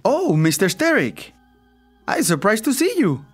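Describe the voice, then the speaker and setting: A man acting a timid, stubborn servant in a radio drama, with a foreign accent, deferential broken English and a husky, high voice. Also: big pitch swings, measured pace, music in the background. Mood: evasive, nervous.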